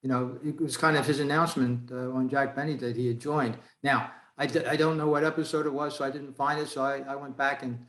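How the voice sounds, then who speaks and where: A man's voice: mid-range voice, fairly even intonation, fairly bright tone. Hesitant man in an echoing room. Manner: hesitant, halting. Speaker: club member. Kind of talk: casual discussion on a video call. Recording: roomy, echoing video-call audio.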